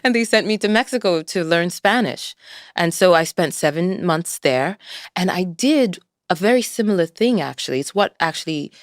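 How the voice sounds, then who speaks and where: A woman from Kenya with an American accent, telling stories in an interview in a relaxed, chatty way with fillers. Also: lowish voice, normal speed, dry recording, studio quality. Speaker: interview guest. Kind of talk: conversational interview storytelling.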